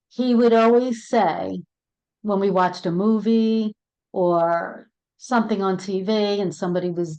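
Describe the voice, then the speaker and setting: A woman's voice: mid-range voice, darkish tone. A middle-aged woman with a Brooklyn accent, a co-host and end-of-life doula, speaking earnestly in a casual conversation and repeating words as she goes. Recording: dull lo-fi audio.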